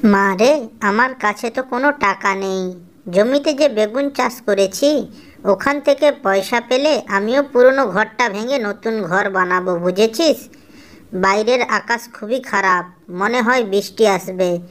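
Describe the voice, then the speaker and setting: A woman's voice: mid-range voice, measured pace, natural voice. A woman voicing a caring, hardworking mother bird in a children's cartoon fable. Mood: gentle, reassuring. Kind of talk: Bengali children's cartoon dubbing.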